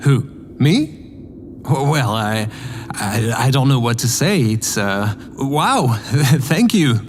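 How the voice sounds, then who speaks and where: Naive, literal spaceship operator, lively pitch. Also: male voice, slow, slight background noise. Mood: flattered, surprised. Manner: literal, hesitant, earnest. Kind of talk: scripted sci-fi comedy audio drama.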